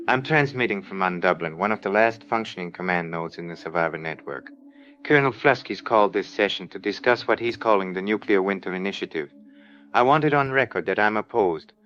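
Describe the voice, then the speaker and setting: A man's voice: fairly low pitch, a little fast, a bit bright, dull audio, close to an everyday voice. A man playing a principled scientist doctor, making a formal, sober emergency radio transmission in an audio drama. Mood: sober, opposed.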